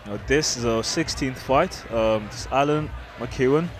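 A man's voice: mid-range voice, unhurried, soft background music. A man giving casual, hesitant live commentary on a fight. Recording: noisy event hall ambience.

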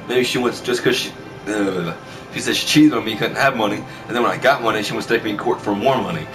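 Crude young man with a lowish voice. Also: rough recording. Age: young adult. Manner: crude, profane, teasing. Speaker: vlogger. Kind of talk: casual banter. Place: small bedroom. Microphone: webcam or console camera a short way off.

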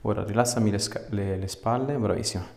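A man's voice: lowish voice, brisk, gravelly, darkish tone. A man, a chiropractor giving casual instructions and chatting with a patient. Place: small indoor clinic treatment room. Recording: echoey treatment room, faint noise.

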